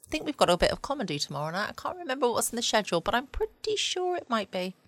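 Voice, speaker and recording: A woman's voice: fairly high pitch, very animated, rapid-fire, fairly bright tone, no room sound. A British-accented woman hosting a bedtime podcast, chatting casually at home. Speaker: podcast host. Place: at home, dry close recording.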